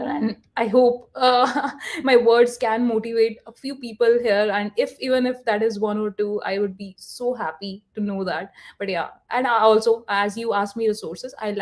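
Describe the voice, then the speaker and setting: A woman guest speaker on a video call, talking earnestly with many fillers and restarts. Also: mid-range voice. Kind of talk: online session answer.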